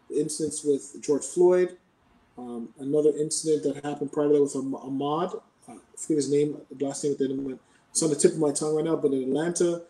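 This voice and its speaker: A Canadian man answering interview questions in a measured, explanatory way, often checking in with "right?". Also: on the high side, warm tone, reverberant, far from the mic, low-quality recording. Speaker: podcast guest.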